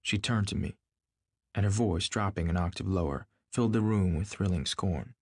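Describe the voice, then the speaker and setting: Low-pitched male audiobook narrator. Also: normal speed, a little mellow, breathy edge, no room sound, studio quality. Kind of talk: scripted literary narration.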